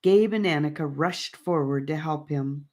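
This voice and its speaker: A woman narrating a fantasy audiobook in a measured, deliberate storybook style. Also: deep voice, darkish tone.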